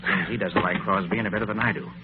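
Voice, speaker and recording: Very noisy old radio, male actor as decisive detective, low pitch, rapid, assured. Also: fairly even intonation, natural voice. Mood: cool, brisk. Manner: brisk, assured, in command. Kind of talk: old-time radio drama acting. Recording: muffled vintage radio, loud background noise.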